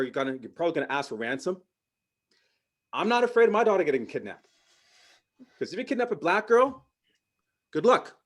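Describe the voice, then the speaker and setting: A middle-aged man, doing a stand-up comedy set, delivering deadpan punchlines and slipping into mock voices. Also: a little high. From Canada. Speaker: parent.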